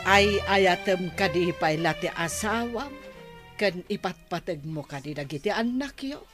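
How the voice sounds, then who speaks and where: A woman acting an older Filipino mother in a radio drama, patient, concerned and gently scolding, with a Filipino accent. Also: on the low side, lively intonation, measured pace, faint music behind.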